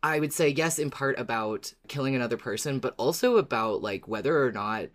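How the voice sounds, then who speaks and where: A woman with an unusually deep voice speaks as a guest host, explaining points in a measured, casual tone. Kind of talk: casual chat.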